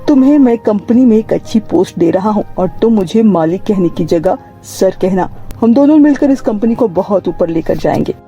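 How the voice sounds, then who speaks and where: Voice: mid-range voice, animated, moderate pace, hardly any pauses, mellow, lo-fi sound, soft background music, close to an everyday voice. A performer voicing an appreciative male company owner in a cartoon story, earnest and formal. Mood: pleased, generous.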